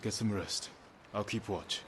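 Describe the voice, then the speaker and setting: Give a video game samurai lord, resolute and commanding played by a man. His voice sits low and his delivery is measured and serious. A little background noise sits behind him. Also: close to an everyday voice. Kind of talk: dramatic video game acting. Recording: outdoor game ambience behind the voice.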